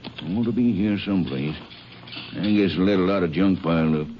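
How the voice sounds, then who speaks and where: An actor playing a folksy, laconic small-town Texas constable in 1950s American radio drama. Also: male voice, low voice, a little fast, natural voice. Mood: helpful, easygoing. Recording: dull, hissy 1950s radio recording.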